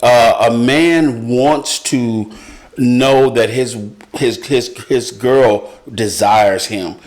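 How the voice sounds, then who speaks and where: A middle-aged man in a casual panel discussion, speaking haltingly and repeating his words as he thinks. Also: medium pitch, a little mellow. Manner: halting, repeats words, often says "you know". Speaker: panelist on a talk show.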